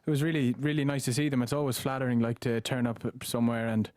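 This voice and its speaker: A young Irish man, around nineteen, with a mid-pitched voice. He chats casually as a band member in an interview, recorded very dry in a studio. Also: fairly even intonation, fast, a little mellow, no room sound. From Ireland. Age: late teens. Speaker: boy band singer, interview guest. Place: radio studio. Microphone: studio microphone.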